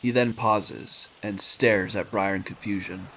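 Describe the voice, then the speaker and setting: A man's voice: lowish voice, steady tone, a little slow, gravelly, low-quality recording. A man narrating a scripted audio drama as a storyteller. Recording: loud background music under narration.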